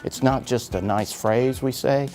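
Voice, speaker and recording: A man with a gravelly voice, a school administrator explaining things in an interview. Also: mid-range voice, measured pace. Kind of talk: interview soundbite. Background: music bed.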